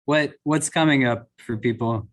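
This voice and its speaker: A man teaching over a video call in a relaxed, conversational way. He keeps checking that his listeners follow, often asking "right?" as he explains. His voice sits at a middle pitch. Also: moderate pace. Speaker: imam leading a class. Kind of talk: casual teaching discussion.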